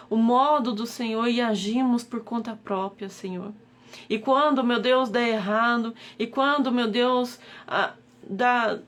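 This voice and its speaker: Brazilian Portuguese woman, slightly high voice, pleading devotional prayer leader who keeps invoking God. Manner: pleading, repeated invocations of God. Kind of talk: devotional live-stream prayer.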